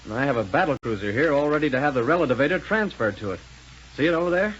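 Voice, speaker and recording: A man playing a brisk, folksy space adventurer hero in a 1930s radio serial. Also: a little high, lively intonation, a bit bright. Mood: eager, explaining. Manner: folksy, decisive. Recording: muffled, noisy 1930s radio recording.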